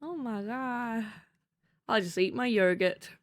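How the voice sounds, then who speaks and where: A woman's voice: a little high, slow. A woman streaming live, chatting playfully with her chat, with a slight cough. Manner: playful, silly, sings nonsense syllables.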